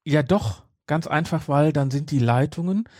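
A man's voice: a little high, normal speed, darkish tone, no echo, studio quality. A man talking casually as a podcast co-host, explaining things and insisting on details. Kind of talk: casual fan discussion.

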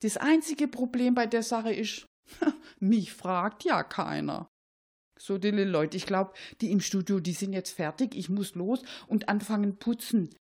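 Casting: woman as grumbling Swabian cleaning lady for radio comedy, colloquial and confiding, animated melody. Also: medium pitch, a little slow. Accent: southern German, Swabian.

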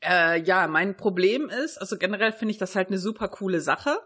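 A woman chats casually as a podcast co-host. Her medium-pitched voice has a husky, slightly rough edge. She speaks informally and pokes fun at her own rambling. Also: fairly quick, fairly bright tone. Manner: giggly, informal, self-deprecating. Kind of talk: casual chat.

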